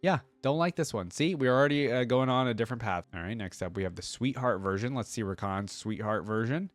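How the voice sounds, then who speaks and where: A man's voice: medium pitch, animated, a little fast, no room sound, soft background music. A man hosting a reaction video with casual, informal commentary, thinking aloud as he goes.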